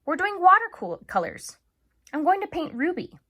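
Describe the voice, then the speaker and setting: A woman's voice: extremely high pitch, sing-song, moderate pace, bright, forceful tone, voice pushed up, exaggerated voice. A woman reading a storybook aloud, voicing an earnest, caring young daughter in an eager, tender manner.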